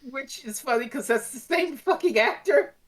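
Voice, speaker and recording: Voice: sits high, animated, normal speed. A podcast co-host in a casual review chat, who swears freely while talking.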